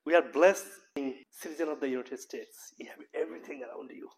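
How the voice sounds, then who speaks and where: A man's voice: fairly high pitch, brisk, rough recording. Earnest man with a Bangladeshi accent. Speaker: professor and health practitioner giving a talk. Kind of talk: informal health lecture.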